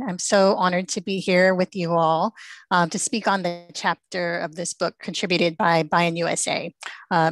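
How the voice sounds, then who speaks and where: A woman's voice: on the low side, no room sound. A woman in her forties speaking earnestly on a panel from her living room through a headset, with frequent fillers. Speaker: panelist, organizer.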